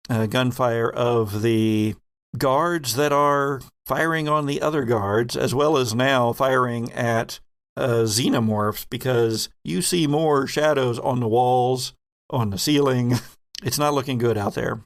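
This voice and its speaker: A man narrating a tabletop horror game as game master, in halting, suspenseful phrases. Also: medium pitch, clean tone.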